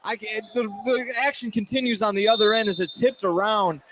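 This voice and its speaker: A man doing live radio play-by-play of a basketball game, in an excitable way that rises for big plays. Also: sits high, brisk. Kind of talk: live radio sports commentary. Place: indoor high school gymnasium, courtside. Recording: noisy live gym sound. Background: loud gym ambience.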